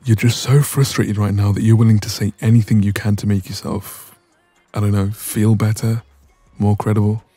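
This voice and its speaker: A man playing a dominant, cocky young ex-boyfriend in an intimate audio roleplay, soft and breathy, smug and casual, close to the microphone. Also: on the low side, level tone, normal speed, hardly any pauses, dry recording, faint music behind. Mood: smug, irritated.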